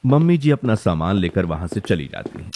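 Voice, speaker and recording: A man's voice: low-pitched, very animated, fairly quick, warm tone. A man delivering scripted dramatic narration for a story.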